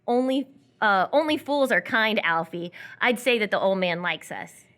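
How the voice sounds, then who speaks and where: A woman as coy young woman, radio play, bright voice, lively pitch, playful, evasive, hesitant. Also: normal speed, close to an everyday voice. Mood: coy, knowing. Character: coy, teasing, lonely captive young woman. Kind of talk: live radio theatre dialogue.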